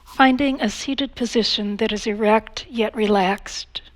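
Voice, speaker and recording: A woman leading a guided meditation as an instructor, speaking calmly in measured phrases with long pauses. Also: on the high side.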